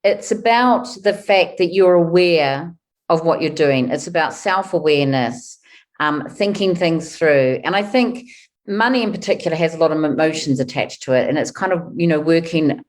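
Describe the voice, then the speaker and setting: Nz lady in her 50s, low voice, chatty, thinking out loud as a podcast guest. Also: expressive, moderate pace. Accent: New Zealand. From New Zealand. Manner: chatty, thinks aloud with fillers. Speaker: guest, wealth coach. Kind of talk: conversational interview answers.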